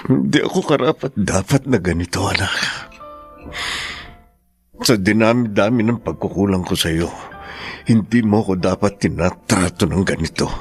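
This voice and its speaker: A man's voice: deep voice, creaky, heavily acted. A troubled father, widely swinging voice. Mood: guilty, sorrowful. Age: middle-aged. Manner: emotional, brooding or scolding. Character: troubled, guilt-ridden ex-convict father, harsh-tempered with his daughter. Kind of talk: radio drama acting.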